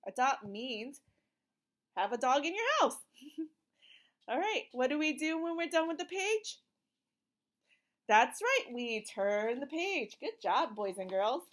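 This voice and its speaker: A woman's voice: high-pitched, big pitch swings, slow pace, pauses often, a bit bright. A woman reading a picture book aloud to young children in an encouraging, sing-song teacher's manner, asking questions and drawing out short phrases.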